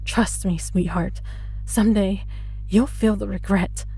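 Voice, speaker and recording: Female actor for vengeful immortal lab prisoner in audio drama: muted brightness, husky edge, sardonic menace, music underneath. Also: medium pitch, fairly even intonation. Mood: threatening. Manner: taunting, sardonic, deliberate, addresses listener with mock endearments. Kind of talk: dramatic audio roleplay monologue.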